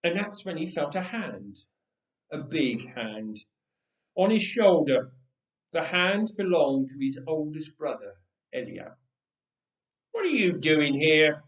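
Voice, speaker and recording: Echoey, slow-paced male storyteller. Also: on the high side, sing-song, recorded from a distance. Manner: dramatic, playful, deliberate phrasing. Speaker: storyteller reading a story aloud. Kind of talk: expressive scripted storybook narration. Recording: roomy, echoing room sound.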